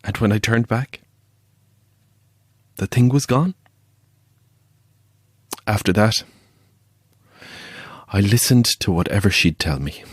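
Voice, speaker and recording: An actor playing a haunted believer in the paranormal, telling a chilling tale in a deliberate, halting way for an audio drama. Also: male voice, on the low side, lively intonation, slightly rough, no echo, studio quality, exaggerated voice. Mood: dread. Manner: deliberate, halting, suspenseful storytelling.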